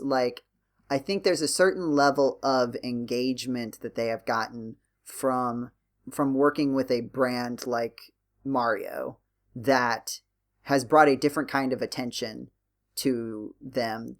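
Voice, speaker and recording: A man's voice: mid-range voice, slow, fairly bright tone. A man hosting a casual podcast discussion, explaining his thoughts in a rambling, hesitant way. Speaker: podcast host.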